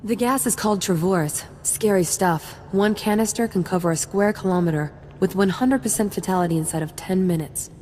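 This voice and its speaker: A woman's voice: mid-range voice, brisk, hardly any pauses, a little mellow, in a large hall, slight background noise, close to an everyday voice. A woman playing a confident, wry young special forces sergeant in a video game, casual and playful. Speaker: soldier giving mission briefing. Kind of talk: scripted game dialogue.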